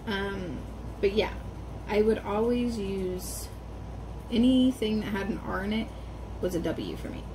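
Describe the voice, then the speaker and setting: A woman's voice: medium pitch, measured pace, long pauses. A young woman in a casual personal vlog, talking in a rambling, hesitant way with many ums and restarts and with strongly stressed R sounds. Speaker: vlogger. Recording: background noise.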